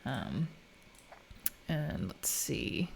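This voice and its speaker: Low-pitched American woman, casual streamer. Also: very animated, very slow, vocal fry, slight background noise. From United States. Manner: informal, chatty, thinking aloud. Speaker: live coding streamer. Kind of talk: casual live stream chat while coding.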